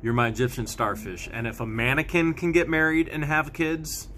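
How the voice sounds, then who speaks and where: A man in his thirties hosting a casual unboxing, talking in an excited, playful, teasing way into a handheld phone inside a large storage warehouse. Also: on the high side, normal speed, a little hiss or hum. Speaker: host.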